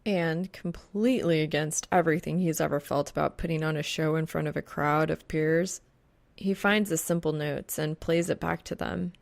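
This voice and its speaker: A woman narrates a fan fiction audiobook from a script. Her voice sits a little low for a woman and carries a clear creak as she reads the story. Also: fairly even intonation, moderate pace.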